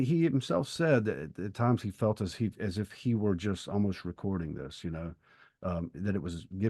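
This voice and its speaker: Low voiced guy on a book podcast, thoughtful and measured, really dry recording. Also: darkish tone, no room sound. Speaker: podcast co-host. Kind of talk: conversational literary discussion.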